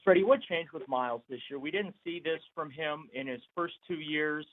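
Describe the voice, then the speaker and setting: A man with a slightly high voice asks questions as a sports reporter. He is on a conference call, and the line sounds narrow and phone-like. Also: moderate pace. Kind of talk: asking questions on a press call.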